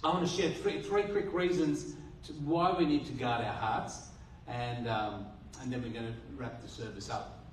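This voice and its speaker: Australian pastor preaching in an echoey hall. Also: male voice, medium pitch, normal speed, slight background noise. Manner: earnest, repetitive restarts, rhetorical questions. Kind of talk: sermon. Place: church hall. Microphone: handheld mic. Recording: roomy hall from a distance.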